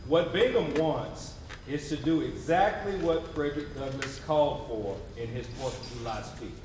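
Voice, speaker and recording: A man delivering scripted theatrical narration on stage in an animated, emphatic, conversational way with wry asides. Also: fairly high pitch, moderate pace, background noise. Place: theatre stage before a live audience. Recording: echoey theatre, distant mic, audience around. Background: audience murmurs and call-and-response.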